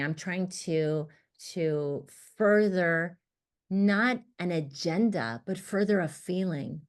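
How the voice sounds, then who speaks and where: A woman poet whose first language was Armenian, speaking in an expressive, emphatic conversation over a video call. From United States. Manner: expressive, emphatic, rises in pitch when animated. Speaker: poet, guest author. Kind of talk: casual conversation about writing. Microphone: computer mic on a video call.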